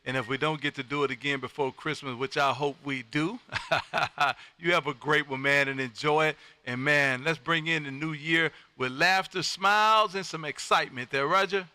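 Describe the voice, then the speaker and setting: Very bright, high-voiced radio man. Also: normal speed, clear, resonant tone, no room sound. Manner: exuberant, loud, folksy. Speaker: ham radio operator hosting a livestream. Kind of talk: animated on-air radio contact chat. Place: home radio room.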